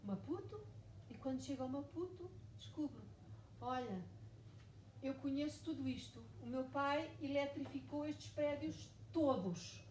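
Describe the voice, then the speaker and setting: A woman's voice: high voice, a little slow, a little mellow. A woman in her forties from Mozambique, a writer speaking at a live book event, telling her story emphatically with lots of repetition. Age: 40s. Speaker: author guest. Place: bookshop event room. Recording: echoey room, far mic, noisy.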